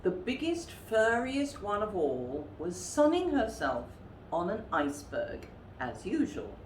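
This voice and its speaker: A woman reading a children's story aloud expressively, with theatrical, deliberate emphasis. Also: medium pitch, sing-song. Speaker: storytime reader. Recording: roomy echo with faint background noise.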